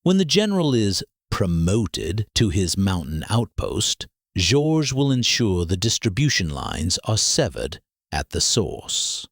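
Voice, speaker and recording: An actor playing a pompous, imperious master detective in a mystery audio drama, with formal, ornate, deliberate diction. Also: male voice, on the low side, sing-song, slightly rough, dry recording, clean studio sound, full character voice. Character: pompous, imperious male master detective, coolly precise and lecturing.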